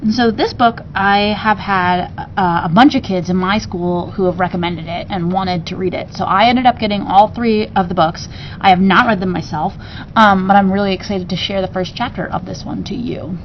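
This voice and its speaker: A woman's voice: mid-range voice, moderate pace, slight background noise. Woman reading a storybook aloud, muffled sound. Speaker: teacher reading aloud to students. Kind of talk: storybook read-aloud with casual asides.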